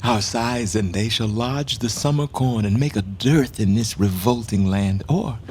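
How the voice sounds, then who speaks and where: A man's voice: fairly low pitch, measured pace, a little mellow, slight background noise, lightly acted. A man acting a grieving, bitterly ironic king facing deposition in a Shakespearean radio play, with brooding, theatrical verse delivery. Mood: bitter grief.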